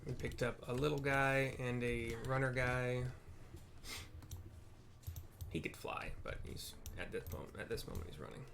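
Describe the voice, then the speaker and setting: A man's voice: mid-range voice, moderate pace, gravelly, long pauses. A man narrating his video game playthrough in a casual, informal, descriptive way. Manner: laid-back, descriptive, informal. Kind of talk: casual gameplay commentary. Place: indoors at a computer.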